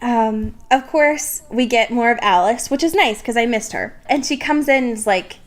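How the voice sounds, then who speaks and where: A woman co-hosting a podcast, casually recapping a book in a slangy, sarcastic, animated way. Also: fairly high pitch, moderate pace. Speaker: podcast co-host.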